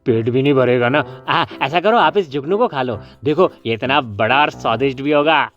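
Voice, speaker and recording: A man's voice: mid-range voice, moderate pace, fairly bright tone, lightly acted. A man voicing a sly, lazy, wheedling rabbit in a children's cartoon story. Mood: pleading, scheming. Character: sly, lazy, freeloading rabbit who pleads and schemes. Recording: dull lo-fi sound with faint music. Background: faint music.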